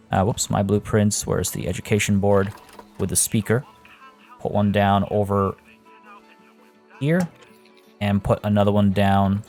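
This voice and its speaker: A man with a lowish voice talks casually over gameplay, rambling and correcting himself as he goes. He sounds a bit hoarse, and soft music plays faintly underneath. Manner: rambling, self-correcting, chatty with viewers. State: losing his voice. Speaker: let's play host.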